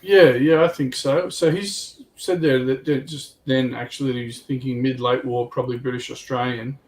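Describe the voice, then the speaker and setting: A man's voice: mid-range voice, steady tone, normal speed, a little hiss or hum, low-quality recording. A man on a livestream panel giving hobby advice in casual chat, informally thinking aloud.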